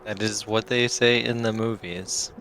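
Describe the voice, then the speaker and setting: A man's voice: on the low side, steady tone, measured pace, fairly bright tone, slight background noise, poor audio. A man playing a tabletop role-playing game, improvising casually in and out of character.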